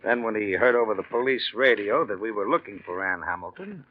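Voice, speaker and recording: Actor as shrewd, offhand police lieutenant, lively pitch. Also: male voice, brisk, close to an everyday voice. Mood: probing. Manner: offhand, folksy, slyly probing. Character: shrewd, casual homicide police lieutenant, quietly suspicious. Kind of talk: 1940s radio drama acting. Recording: dull, noisy vintage radio recording.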